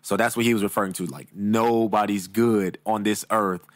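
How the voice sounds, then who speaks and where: Low-voiced laid-back male commentator. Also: normal speed, no echo, studio quality. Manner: relaxed, agreeing, thinks aloud with fillers. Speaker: reaction video host. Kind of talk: casual commentary. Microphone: studio mic.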